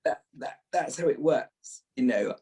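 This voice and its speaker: A man's voice: medium pitch, expressive, a little slow, dark tone. A middle-aged or older British man, an actor being interviewed, talking reflectively and repeating phrases as he thinks.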